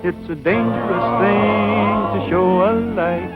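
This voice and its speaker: A man's voice: high-pitched, steady tone, slow. A man singing a spooky novelty song in a vintage style. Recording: dull old record with loud music.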